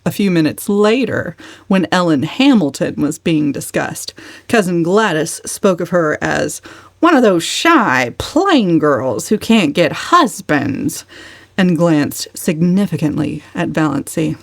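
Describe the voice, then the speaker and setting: A woman reading a novel aloud as a dramatic audiobook narrator, measured and expressive. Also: low-pitched, gravelly, no room sound.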